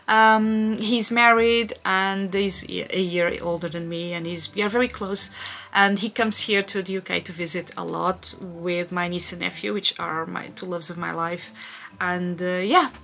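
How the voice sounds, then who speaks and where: A woman's voice: lowish voice, fairly even intonation, measured pace, creaky, fairly bright tone, a little hiss or hum. A woman in her thirties from Portugal, speaking English with a Portuguese accent, chatting through a casual Q&A with many fillers and restarts. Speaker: vlogger. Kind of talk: casual Q&A chat.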